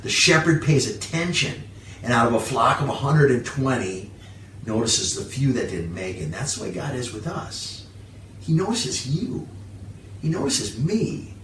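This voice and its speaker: A man preaching a sermon as a pastor, phrasing his points emphatically and moving between scripture and plain explanation. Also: mid-range voice. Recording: roomy, distant mic, background hiss.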